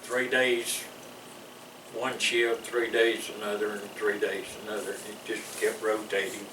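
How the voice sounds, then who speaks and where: A man's voice: fairly low pitch, level tone, measured pace. An older man with a Southern American accent, telling his service memories in a rambling, folksy way with chuckles between stories. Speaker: veteran interviewee. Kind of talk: recalling memories in an interview. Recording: echoey room, mic far off, clear background noise.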